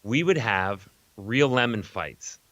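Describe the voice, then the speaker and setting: A man's voice: fairly low pitch, expressive, slow pace, fairly bright tone, no room sound, dull audio. A middle-aged man co-hosting a comedy show, telling rambling, exclamatory stories in casual chat. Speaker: co-host of a live comedy film-riffing show. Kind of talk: casual chat, comic storytelling.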